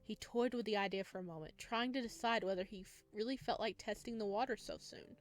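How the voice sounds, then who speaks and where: A woman's voice: mid-range voice, a little fast. A woman doing a let's play, reading game text aloud and adding casual reactions. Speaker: host playing and reading a visual novel. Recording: music behind the voice. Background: background music.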